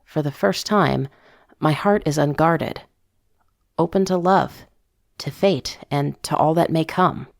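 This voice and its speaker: Low, gravelly-voiced woman narrating a story. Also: slow, no echo, studio quality. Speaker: narrator of a fiction podcast story. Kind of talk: first-person dramatic scripted narration, diary entries.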